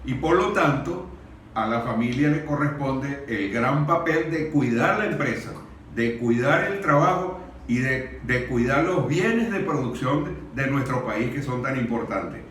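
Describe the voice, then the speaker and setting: A man's voice: medium pitch, animated, measured pace, fairly bright tone. Venezuelan man speaking in a very echoey room. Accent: Venezuelan Spanish. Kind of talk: earnest speech at a public discussion. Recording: very echoey room, distant mic, faint noise.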